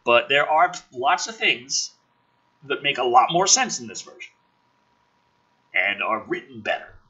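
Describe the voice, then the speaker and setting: Animated, raspy man giving exasperated commentary. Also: mid-range voice, a little slow, highs cut off. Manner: animated, sarcastic, sweary, groaning asides. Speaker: host of a gaming and film commentary channel. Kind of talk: dramatic script reading with exasperated casual commentary.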